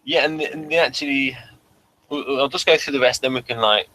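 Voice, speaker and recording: Forceful bright-voiced man hosting a review. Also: mid-range voice, low-quality recording. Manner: halting, rambling, thinks aloud. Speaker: podcast host. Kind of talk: casual episode review, reading a plot summary aloud.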